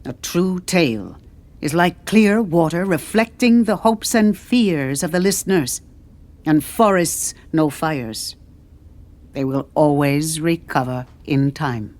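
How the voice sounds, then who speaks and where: Woman acting elderly female historian, storybook fantasy, slightly cracked weary voice, lower pitch, slow measured delivery, mischievous, authoritative. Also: lively intonation, darkish tone. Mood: quiet authority.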